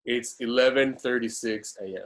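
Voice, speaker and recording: A man's voice: mid-range voice, steady tone, normal speed. A man speaking casually as he moderates a group video call.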